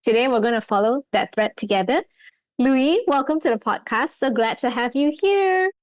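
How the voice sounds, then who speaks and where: A woman's voice: a little high, lively intonation, moderate pace, no room sound. Young woman, podcast host, chatty, fillers, dry close sound. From Malaysia. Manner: friendly, chatty, with many fillers. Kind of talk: casual interview chat.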